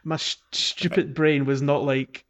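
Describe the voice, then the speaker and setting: A man co-hosting a podcast, chatting casually about a video game in a halting way, often restarting his sentences. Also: fairly high pitch, fairly even intonation, a loud crowd. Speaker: podcast co-host.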